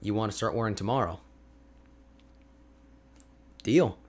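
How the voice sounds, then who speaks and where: A man playing an energetic, friendly young ice mage mentor in an ASMR roleplay, talking to the listener in an animated, informal, chatty way. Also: on the low side, unhurried. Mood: encouraging, friendly. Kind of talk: ASMR roleplay audio drama, addressing the listener.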